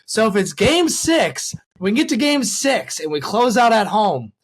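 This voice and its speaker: High-voiced man hosting a sports chat. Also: sing-song, measured pace. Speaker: sports show host. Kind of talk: casual fan chat.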